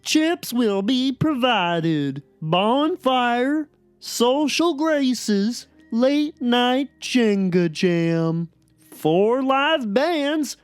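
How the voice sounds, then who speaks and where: A woman's voice: on the high side, animated, extremely slow, clean tone, no room sound, soft background music. A woman playing a resigned, self-deprecating cartoon blogger, giving a wry, downcast dramatic reading of a diary. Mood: reading aloud.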